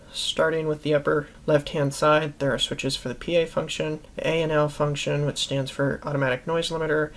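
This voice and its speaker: A man hosting a how-to video, narrating an instructional product review in a methodical, plainly explanatory way. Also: on the high side. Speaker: host of a how-to video series.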